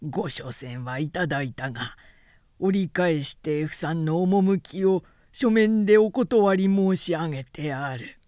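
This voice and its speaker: A man's voice: on the high side, unhurried, a little mellow, no room sound, clean studio sound, close to an everyday voice. A woman narrator playing a frightened, evasive male court nobleman with hesitant, deferential speech in a storybook reading. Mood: uneasy politeness.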